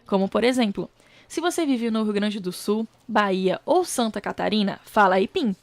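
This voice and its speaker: A woman with a Brazilian Portuguese accent, a student reporter, reading a scripted news voice-over in a measured, clear way. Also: mid-range voice, lively intonation, no room sound.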